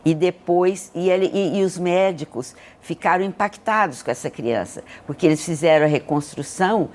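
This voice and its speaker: This is an older woman with a Brazilian accent and a voice a little on the low side. She speaks as a guest in an interview, sharing memories and reflections. Her delivery is earnest and emotive. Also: normal speed, a little mellow. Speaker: interview guest, hospital leader. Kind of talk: reflective personal storytelling in an interview.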